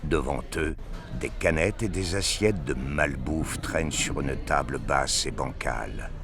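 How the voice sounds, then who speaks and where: A man narrating a science fiction audio drama from a script in a measured, descriptive manner. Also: extremely low pitch, over music.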